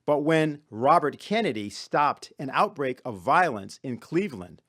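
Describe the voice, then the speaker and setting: A man giving a scripted presentation as a conference speaker, formal, measured and serious. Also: fairly high pitch, sing-song, fairly bright tone, dry recording, clean studio sound. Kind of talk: scripted lecture-style presentation.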